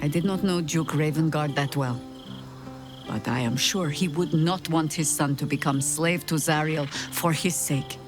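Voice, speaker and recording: An actress playing a compassionate, solemn adventurer in a fantasy video game, speaking in a measured, sincere way. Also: female voice, sits low, close to an everyday voice. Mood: solemn, consoling. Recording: music behind the voice.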